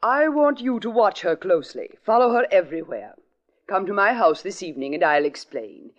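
An actress playing a breathless, gushing wife in 1940s radio acting. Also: female voice, mid-range voice, expressive, moderate pace, close to an everyday voice. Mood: haughty. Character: anxious devoted wife; later haughty society lady. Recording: muffled 1940s radio broadcast.

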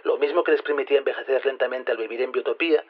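An actor plays an earnest male scientist with a Castilian accent, talking very quickly. He explains and insists with quiet indignation, in audio drama style. The recording is slightly dull, like radio. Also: medium pitch, lightly acted. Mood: explaining. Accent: Castilian Spanish from Spain. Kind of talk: Spanish audio fiction acting.

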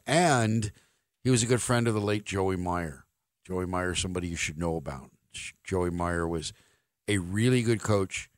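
An American man delivers a sports radio monologue in a slightly low voice. He is wry and opinionated, leaning on his points with emphasis. The sound is close and dry. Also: no room sound.

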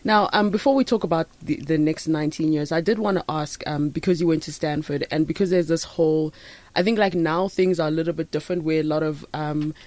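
A woman hosting a podcast interview in a studio, chatty and quick to laugh, with plenty of encouraging mm-hmms. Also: deep voice, steady tone, a little mellow, dry recording. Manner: chatty, laughs easily, lots of backchannel mm-hmms. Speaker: podcast host. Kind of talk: studio interview and scripted intro. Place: radio studio.

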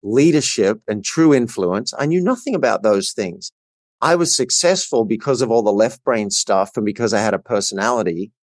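A man's voice: fairly low pitch, animated, moderate pace, dry recording. A middle-aged man with an Australian accent, telling his personal story earnestly and emphatically as a coach guesting on a podcast.

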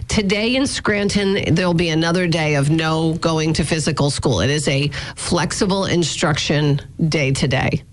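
A woman's voice: low voice, normal speed, hardly any pauses. A woman hosting radio, giving a conversational update on local news. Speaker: radio host.

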